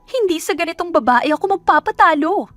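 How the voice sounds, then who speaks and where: A woman's voice: extremely high pitch, lively intonation, fairly quick, fairly bright tone, no echo, soft background music, pitched above the performer's own voice. A woman voicing a scornful, jealous young rich woman in an audio romance drama, indignant and haughty as she spits out her words. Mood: vengeful, scheming. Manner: indignant, haughty, spitting insults.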